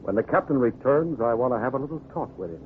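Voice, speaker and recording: A man playing a calm, grave pirate hero with measured authority, in an old-time radio adventure. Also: medium pitch, over loud music, natural voice. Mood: grave, resolute. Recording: tinny band-limited vintage radio, hissy.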